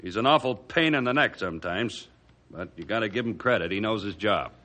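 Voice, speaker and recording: A complacent American small-town mayor in a radio drama. His voice is on the low side, with a bright edge and lively, dismissive swings of tone. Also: brisk. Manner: dismissive, folksy. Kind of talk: radio adventure drama acting.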